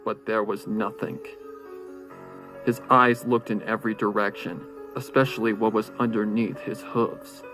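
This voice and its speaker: A man's voice: on the low side, flat delivery, a little slow, a bit bright. Suspenseful male storyteller over faint music. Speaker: story narrator. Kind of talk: dramatic horror fan-fiction narration.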